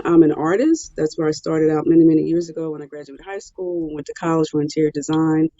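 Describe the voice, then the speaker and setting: A woman's voice: deep voice, mellow, no echo, dull audio. A middle-aged woman from Philadelphia, a real estate investor, answering interview questions in an emphatic, self-assured way, often repeating words. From Philadelphia, Pennsylvania.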